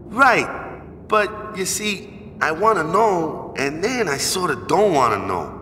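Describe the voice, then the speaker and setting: Brawny, evasive young game islander; high-pitched and slow, halting, colloquial. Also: male voice, sing-song, sharp tone, long reverb tail, distant mic, a little hiss or hum, heavily acted. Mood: hesitant, evasive. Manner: halting, hemming and hawing, colloquial. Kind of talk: video game voice acting.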